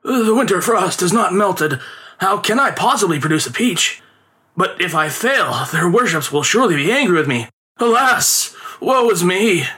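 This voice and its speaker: A man's voice: sits high, expressive, brisk, sharp tone, pitched above the performer's own voice. A man voicing an aging street conjurer and father in a storybook tale, melodramatic, wily and given to pleading. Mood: grumbling, woeful. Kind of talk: storybook character voice.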